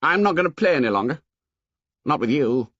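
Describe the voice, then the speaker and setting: A man voicing an angry, humiliated boy who leads a band of hunters, talking loudly and on the verge of tears. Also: a little high, big pitch swings, brisk, no echo, dull audio, pitched above the performer's own voice. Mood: defiant. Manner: loud, shouting, tearful.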